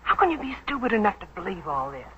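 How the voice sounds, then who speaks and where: Suspicious, sharp young secretary, forties radio drama, bright animated voice, muffled old broadcast. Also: female voice, medium pitch, fast, heavily acted. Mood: cutting. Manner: crisp, indignant, cutting. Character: loyal, sharp-tongued young female secretary, suspicious and proud. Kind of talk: 1940s radio drama acting. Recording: dull 1940s radio broadcast recording.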